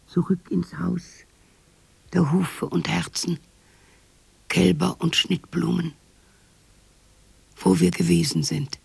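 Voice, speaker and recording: An actress playing a weary, pleading old woman in a lyrical radio drama, with a breathy, husky half-whisper. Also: on the low side, fairly even intonation, slow pace, pauses often, darkish tone, no echo, exaggerated voice. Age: older.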